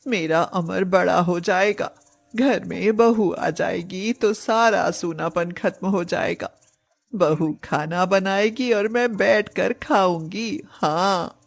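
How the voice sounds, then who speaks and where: A woman's voice: fairly low pitch, slow, creaky, full character voice. A woman voicing a long-suffering widowed village mother, tender but firm and plaintive, in a cartoon story. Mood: wistful, hopeful.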